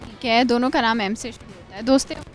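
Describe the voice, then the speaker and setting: A young woman with a high voice runs a playful interview at a college, firing quick teasing follow-ups. Faint music plays under her voice. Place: college campus.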